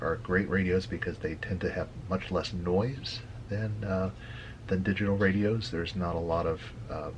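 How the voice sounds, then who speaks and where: A man giving a casual tutorial as a hobby video host, talking haltingly with frequent hesitant pauses. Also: sits low, muffled recording, a little hiss or hum. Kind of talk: casual screen-share tutorial.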